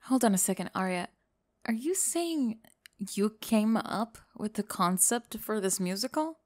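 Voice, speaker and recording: A candid young theatre performer, acted by a woman for an audio drama. Her voice is medium in pitch with an excitable streak, and the recording is dry and close. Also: unhurried, dry recording. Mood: suspicious. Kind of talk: audio roleplay drama acting.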